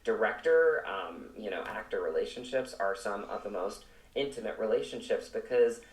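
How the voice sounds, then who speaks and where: A man's voice: lowish voice, expressive, normal speed. A man speaking as an actor in an interview, in an earnest, conversational style, reflective and emphatic, circling back over his phrases. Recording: roomy echo, distant microphone.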